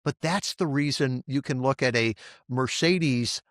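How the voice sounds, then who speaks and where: A man's voice: medium pitch, measured pace, fairly bright tone, no room sound, clean studio sound. A man hosting an interview in a conversational style, reflecting on points and asking questions. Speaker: interview host.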